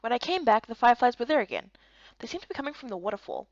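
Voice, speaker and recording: A woman with a fairly high voice reads a visual novel story expressively. Her pitch moves around a lot, and the sound is very dry and a little dull. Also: very animated, very fast, dry recording. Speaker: voice-over reader of a visual novel. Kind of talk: expressive story narration and character reading.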